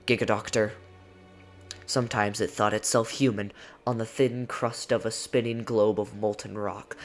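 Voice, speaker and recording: A man narrating a poem as a dramatic reading, with theatrical delivery and deliberate pauses. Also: lowish voice. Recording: music behind the voice.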